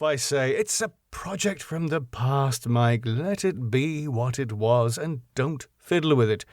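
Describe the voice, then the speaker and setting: A man's voice: medium pitch, no room sound, clean studio sound. A middle-aged man with a British accent, narrating and chatting as a jaunty, self-deprecating podcast host. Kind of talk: scripted story narration and chatty podcast outro.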